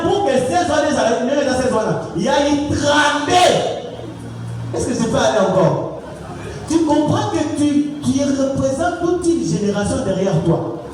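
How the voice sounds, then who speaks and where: A young man preaching to a youth gathering, repeating his points and calling for response. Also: high-pitched. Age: young adult. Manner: repetitive exhorting, call-and-response. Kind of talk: sermon. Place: church. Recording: echoey hall from far off, music behind.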